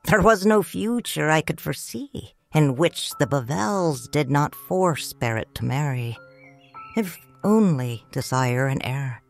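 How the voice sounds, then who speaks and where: Low-voiced woman narrating slowly. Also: animated, creaky. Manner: tender, reflective, first-person storytelling. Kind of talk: audiobook narration. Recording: dry studio voice with soft music.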